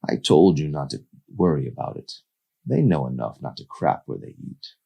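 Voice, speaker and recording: A man's voice: extremely low pitch, big pitch swings, moderate pace, creaky, warm tone, breathy edge, heavily acted. A man voicing a frustrated adult male lover in a novel reading, earnest and insistent in his delivery. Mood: defensive.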